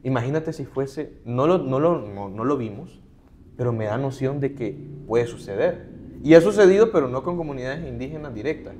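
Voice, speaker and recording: A man's voice: medium pitch, animated, normal speed, darkish tone, a little hiss or hum. A middle-aged man, a human rights advocate, earnestly explaining points as a guest in an interview. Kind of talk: conversational interview.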